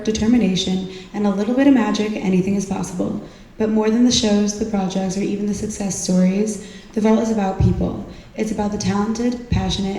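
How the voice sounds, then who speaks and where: A woman's voice: mid-range voice, warm tone. A young adult woman, a student club leader, giving a scripted speech at an event. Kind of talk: scripted thank-you and closing speech. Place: large indoor event venue. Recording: echoey venue, distant microphone.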